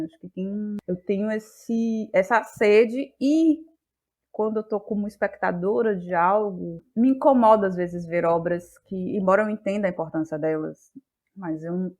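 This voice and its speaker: Thoughtful Bahian woman, searching for words. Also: mid-range voice. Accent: Bahian Brazilian. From Bahia, Brazil. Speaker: guest, playwright and actress. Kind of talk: reflective conversational interview.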